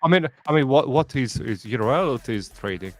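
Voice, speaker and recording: A man from Hungary, speaking English as a second language with a Hungarian accent, halting and full of fillers as a guest on a live stream. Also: medium pitch, animated, soft background music. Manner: halting, repeats words, many fillers. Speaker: guest trader. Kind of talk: casual live-stream chat.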